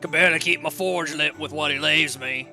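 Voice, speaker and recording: Man acting overworked blacksmith, very sharp gravelly voice, blunt, grumbling, fantasy audio drama. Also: on the high side, steady tone, a little fast, soft background music. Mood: wary, bitter. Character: weary, disgruntled overworked male blacksmith, gruff and plain-spoken.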